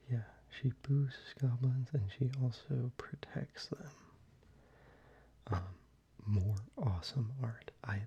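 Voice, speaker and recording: An ASMR creator whispering softly and closely, with frequent ums and restarts while showing their collection. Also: low-pitched, dull audio, slight background noise.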